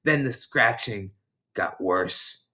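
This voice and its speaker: A man's voice: lowish voice, animated, slow pace, sharp tone, full character voice. A man voicing a boastful, battle-hardened schoolgirl prankster who tells dramatic war stories from a children's storybook. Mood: gleeful.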